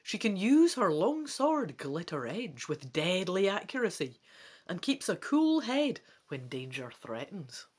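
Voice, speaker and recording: A man's voice: sits high, very animated, unhurried. A man giving a dramatic narration as he plays through a fantasy gamebook.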